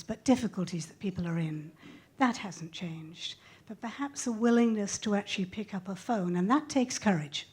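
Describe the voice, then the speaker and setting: A woman's voice: mid-range voice, a little mellow, breathy edge. A woman speaking on a discussion panel as a hotline volunteer, explaining earnestly and reflectively.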